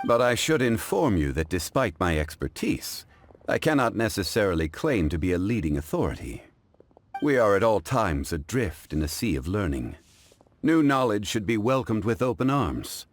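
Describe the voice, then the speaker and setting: Very deep-voiced, unhurried and formal learned gentleman for a game. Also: very animated, soft background music. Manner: measured, formal, courteous. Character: composed, learned male consultant, modest and formal.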